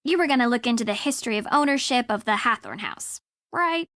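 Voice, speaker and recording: A brash young woman from a video game, with a high voice. She is blunt, sarcastic and impatient, and the recording is dry and close. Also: lively intonation, brisk, fairly bright tone, no echo. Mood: dismissive. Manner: blunt, snarky.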